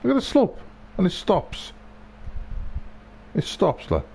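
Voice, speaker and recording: A man's voice: sits high, huge pitch swings, takes it slowly, gravelly, a little mellow, a little hiss or hum. A man live-streaming a video game with casual commentary, grumbling, swearing and repeating himself.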